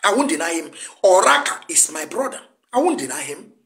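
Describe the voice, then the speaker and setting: A man's voice: sits high, sing-song, fairly quick, fairly bright tone. A middle-aged man with a Nigerian Igbo accent, a native Igbo speaker, delivering an impassioned political monologue in an emphatic, repetitive, scolding manner. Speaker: broadcast host, political activist. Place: indoor room, seated at a table.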